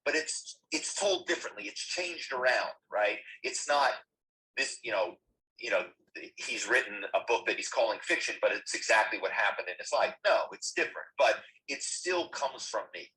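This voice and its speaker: A man's voice: medium pitch, expressive, normal speed, sharp tone, slight background noise, poor audio. A man, a writer and actor, answering interview questions in a thoughtful, rambling way.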